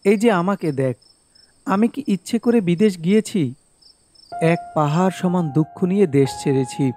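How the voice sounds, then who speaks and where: A man's voice: sits high, animated, normal speed, mellow, soft background music. A man voicing earnest, troubled young village friends in sentimental cartoon dialogue. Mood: downcast.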